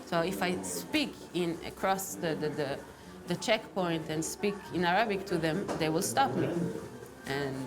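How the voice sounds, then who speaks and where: A woman from Israel speaks slowly and reflectively, often pausing and reaching for the right words. Her voice sits at a middle pitch, and loud music plays beneath her throughout. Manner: thoughtful, searching for words, frequent fillers. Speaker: documentary interviewee. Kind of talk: reflective personal testimony.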